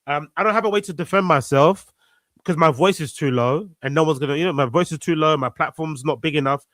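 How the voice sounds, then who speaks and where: A man's voice: a little high, fairly quick, fairly bright tone, no echo. A man in a casual conversation, earnest and reflective, repeating phrases for emphasis. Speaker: podcast guest.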